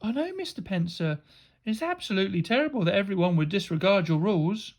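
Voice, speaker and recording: A woman's voice: mid-range voice, animated, fairly quick, a little mellow. A woman voicing a cheeky storybook schoolgirl, mock-polite and feigning innocence. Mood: mock innocent.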